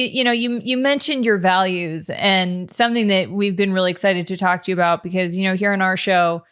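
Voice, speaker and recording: Clear-voiced woman hosting a chat show. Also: mid-range voice. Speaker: podcast co-host, interviewer. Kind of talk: casual conversational interviewing.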